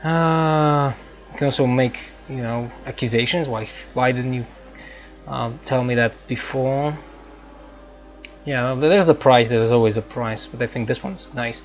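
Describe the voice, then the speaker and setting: Male gaming host, casual and hesitant, slightly muffled lo-fi mic with soft game music behind. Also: mid-range voice, a little slow. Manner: hesitant, thinking aloud. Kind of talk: casual let's-play commentary. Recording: dull lo-fi microphone, game music underneath.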